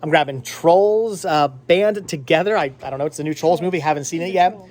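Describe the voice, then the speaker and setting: A man's voice: on the high side, a little fast, darkish tone, slight background noise. A man hosting a casual vlog, chatting in a rambling way and repeating words for emphasis. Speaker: vlogger host. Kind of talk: casual vlog chat to camera and friends.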